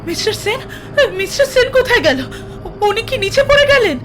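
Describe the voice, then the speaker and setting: A woman's voice: extremely high pitch, expressive, fairly quick, over music. A woman playing a distraught, questioning woman in an audio thriller. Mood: alarmed.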